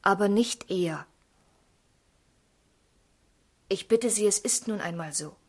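An actress playing a tender, troubled young woman in a radio drama, with a breathy, husky voice and earnest delivery. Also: medium pitch, moderate pace, lightly acted. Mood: nervous pleading. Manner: earnest, pleading, sometimes dropping to a whisper. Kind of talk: German literary radio drama acting.

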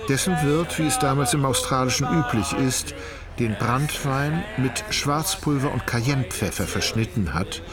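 An actor as a detached, wry literary narrator, reading ornate prose in a measured, ironic way for a radio drama. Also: male voice, mid-range voice, lively intonation, a crowd in the background, lightly acted. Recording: noisy outdoor ambience with crickets.

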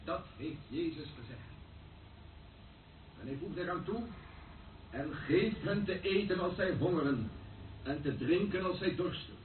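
An actor playing a solemn priest who preaches the gospel at mass in a declamatory way, in a Dutch radio drama. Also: male voice, a little high, lively intonation, measured pace, pauses often. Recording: distant echoey voice, tinny noisy old recording.

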